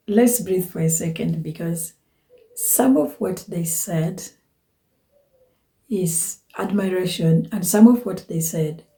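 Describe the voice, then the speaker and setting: A woman hosting a reflective social commentary, speaking in a measured, questioning way. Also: lowish voice, dark tone, low-quality recording. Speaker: commentary host.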